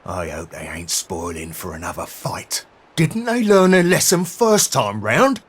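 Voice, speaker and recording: A man's voice: lowish voice, sing-song, measured pace, breathy edge, heavily acted. An actor playing a loyal, folksy male sidekick in a video game, with colloquial speech. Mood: wary. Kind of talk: video game cutscene acting. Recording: loud game music behind.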